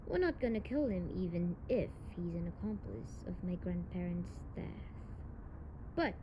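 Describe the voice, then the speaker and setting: A woman's voice: fairly low pitch, gravelly, muffled recording. A woman playing a tough but tender tsundere delinquent young woman in an intimate audio roleplay, with slangy speech. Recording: noisy home recording, audible background noise.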